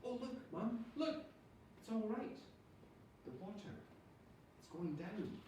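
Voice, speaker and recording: Excitable young storybook boy, played by a male reader, urgent and high, recorded at a distance in an echoing room. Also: takes it slowly. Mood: excited relief. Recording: echoey room, distant mic, background noise.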